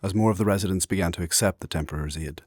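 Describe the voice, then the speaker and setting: A man playing an ancient, proud and solemn warrior in a dark fantasy audio drama, speaking formally and gravely. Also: racing, no room sound, studio quality. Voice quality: husky, very deep.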